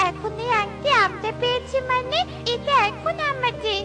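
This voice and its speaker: An innocent little bird girl from a cartoon fairy tale, extremely high and childlike. She sounds earnest and plaintive, eager to be believed, with music clearly behind her. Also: normal speed.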